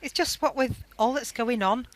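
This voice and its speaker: A young woman playing a kind, calming wartime daughter in an amateur radio play. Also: fairly high pitch, normal speed, dry recording, lightly acted. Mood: amused, soothing.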